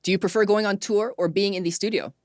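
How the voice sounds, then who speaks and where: A woman's voice: medium pitch, quick pace, dry recording, clean studio sound. A woman hosting an interview in a casual, informal way, often affirming with short repeated acknowledgements. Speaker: interview host.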